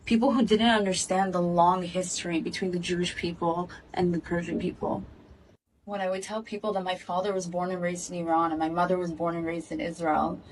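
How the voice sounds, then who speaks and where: Woman, earnest statement to camera, steady and measured, slightly off-mic. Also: fairly low pitch, distant mic, a little hiss or hum, low-quality recording. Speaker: activist speaking in a video clip. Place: in Israel.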